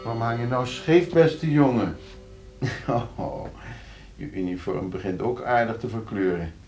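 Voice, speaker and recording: Smug, scheming lawyer, swooping intonation. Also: male voice, on the low side, animated, a little slow, darkish tone, soft background music. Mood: musing to himself. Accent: Netherlands Dutch. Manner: suave, hesitant repetitions, condescending. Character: smug, scheming lawyer, falsely hospitable and needling. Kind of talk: radio play acting.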